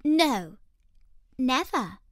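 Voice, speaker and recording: A woman's voice: sits high, very animated, drawn-out words, dry recording, studio quality, pitched above the performer's own voice, heavily acted. A woman voicing a timid, curious little girl from a storybook, speaking with careful politeness. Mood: curious, polite. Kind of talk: storybook character voice.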